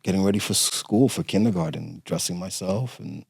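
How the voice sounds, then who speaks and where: A middle-aged man from Romania, a podcast guest telling his family story in a halting way with many fillers and restarts. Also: low voice, creaky, husky, no echo, studio quality. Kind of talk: conversational interview storytelling.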